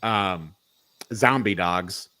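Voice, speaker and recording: A man's voice: deep voice, drawn-out words, pushed and bright. A man hosting a casual film discussion, speaking in an informal, slangy and opinionated way. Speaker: podcast host leading a film review.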